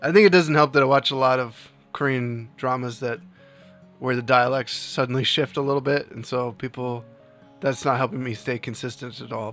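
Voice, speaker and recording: Young male streamer, mid-pitched, loose and rambling chat with frequent laughs, faint music bed. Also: a bit bright. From New Jersey. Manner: rambling, laughs often, reads chat aloud. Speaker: livestream host. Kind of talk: casual chat with live chat.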